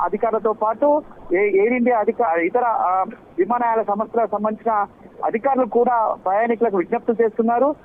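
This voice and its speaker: A man giving an unscripted live news report in a halting, repetitive, hesitant way. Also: sits high. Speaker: news correspondent. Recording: muffled, band-limited live link.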